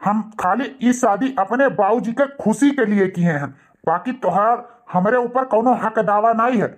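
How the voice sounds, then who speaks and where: A man's voice: sits high, moderate pace, close to an everyday voice. Hot-tempered cartoon husband and devoted son, Awadhi accent, played by a male actor. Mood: angry, contemptuous. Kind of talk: cartoon dialogue.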